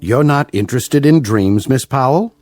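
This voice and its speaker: An actor playing a smooth, condescending middle-aged doctor in a radio drama, formal and measured. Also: male voice, medium pitch, animated, a little mellow, faint music behind, close to an everyday voice. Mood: firm, skeptical. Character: smooth, patronizing older male hospital doctor. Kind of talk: old-time radio drama acting.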